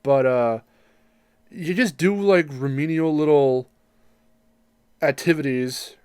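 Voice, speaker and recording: Rambling podcast co-host, medium pitch, dry sound. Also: slow, a little mellow, smooth, dry recording. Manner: rambling, joking, profane. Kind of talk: casual gaming podcast chat.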